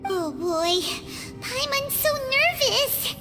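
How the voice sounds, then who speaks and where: Tiny squeaky excitable fairy sidekick. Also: female voice, extremely slow, heavily acted. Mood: nervous. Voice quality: squeaky, childlike. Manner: exclamatory, refers to self in third person. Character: tiny excitable childlike fairy companion, anxious and loyal. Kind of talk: video game voice acting. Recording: loud music under the voice, reverberant. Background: game music.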